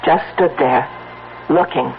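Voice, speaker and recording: Trembling, frightened wife, old radio. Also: female voice, low voice, gravelly. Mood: shaken, anxious. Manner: trembling, breathless, halting. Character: frightened, shaken wife recounting a scary encounter. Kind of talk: old-time radio drama acting. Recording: muffled, noisy old radio broadcast.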